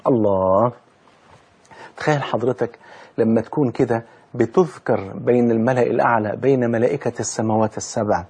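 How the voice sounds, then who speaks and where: An Egyptian man preaches in a husky voice of medium pitch. He talks quickly and emphatically, telling religious stories in colloquial speech mixed with formal recited quotations. He often stresses points through repetition and rhetorical questions. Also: a little mellow. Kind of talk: religious storytelling sermon mixing colloquial talk with formal recitation of scripture.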